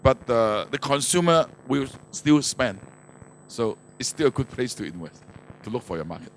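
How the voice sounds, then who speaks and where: A man's voice: medium pitch, big pitch swings, moderate pace. An older man speaking as a business panelist on a handheld microphone, explaining in non-native English phrasing with grammatical slips. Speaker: manufacturer speaking as conference panelist. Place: conference stage, indoors, before an audience. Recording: noisy handheld mic in conference hall.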